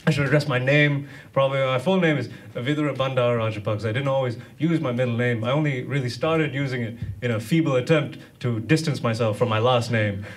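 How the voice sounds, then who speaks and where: A man in his thirties from Sri Lanka doing stand-up with a hesitant, deadpan delivery. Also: mid-range voice, fast talker, few pauses. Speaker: stand-up comedian. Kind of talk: stand-up comedy monologue. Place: small dark comedy club stage. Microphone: handheld stage microphone. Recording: distant, very noisy live room.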